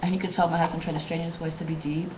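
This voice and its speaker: Hoarse woman, lowish voice, rambling webcam monologue, muffled audio, steady hiss behind. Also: racing. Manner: rambling, repetitive, many restarts. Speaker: vlogger. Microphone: webcam mic.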